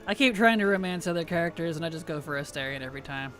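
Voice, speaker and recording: A woman game streamer chatting casually and playfully on a livestream, her tired voice starting to weaken and turn gravelly. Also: sits low, lively intonation, very fast, music in the background. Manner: playful, teasing, chuckles often. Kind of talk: casual livestream chat over gameplay.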